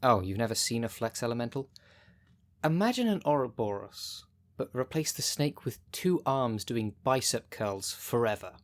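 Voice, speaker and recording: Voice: medium pitch, very animated, normal speed, close to an everyday voice. A dry, bossy city witch, acted out in ASMR roleplay. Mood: matter-of-fact, dry. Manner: dry, matter-of-fact, casually bossy. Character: sardonic, brisk witch shopkeeper of a city potion shop, female. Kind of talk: ASMR roleplay, speaking directly to the listener.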